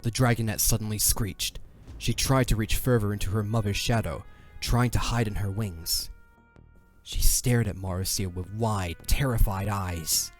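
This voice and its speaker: A man's voice: on the low side, fairly even intonation, unhurried, over loud music. A man narrating a dramatic fantasy audio drama in a solemn storyteller's delivery.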